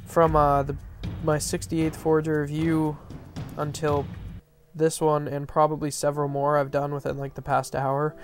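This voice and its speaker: A man's voice: on the high side, completely flat delivery, moderate pace. A man with a stuffy, nasal voice giving casual, rambling commentary over gameplay, full of fillers and restarts. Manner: rambling, filler-heavy, self-correcting. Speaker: map reviewer. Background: faint background music.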